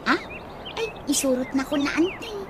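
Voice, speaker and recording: Woman voicing a perky, very high-voiced Ilocano niece. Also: animated, moderate pace. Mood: cheeky, coaxing. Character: perky, cheeky young niece egging on her aunt. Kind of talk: radio comedy-drama acting. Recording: noisy audio with birds.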